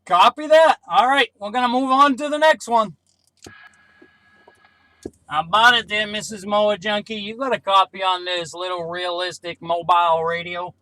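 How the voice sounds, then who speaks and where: A man's voice: high voice, steady tone, normal speed, sharp tone, poor audio. A man talking casually as a CB radio operator, making brief two-way range-check calls.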